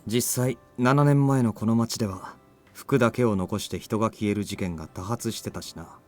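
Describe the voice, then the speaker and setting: An actor playing a calm, matter-of-fact young man in a Japanese visual novel, speaking seriously and without fuss. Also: lowish voice, fast, faint music behind, natural voice. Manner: calm, unfussed.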